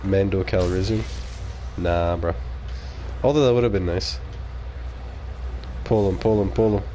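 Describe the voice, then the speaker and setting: A man whose first language is French talks through a live gaming stream in a relaxed, low-pitched voice. He reacts out loud to the action and mutters asides to his viewers. A faint hiss sits under the recording. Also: unhurried, low-quality recording. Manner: casual, reacting aloud to the game, muttering asides. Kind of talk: live gameplay commentary.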